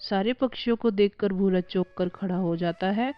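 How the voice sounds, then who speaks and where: A woman's voice: medium pitch, moderate pace, clear, resonant tone, no room sound, dull audio. A woman narrating a scripted cartoon story for children.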